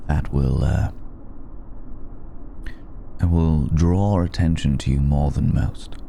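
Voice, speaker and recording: A man playing an elegant, centuries-old vampire in an ASMR roleplay, speaking with measured, darkly polite menace and weary hesitation. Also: a little hiss or hum, full character voice. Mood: grave, warning. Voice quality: very deep, gravelly, dark. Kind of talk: slow intimate ASMR roleplay addressed to listener.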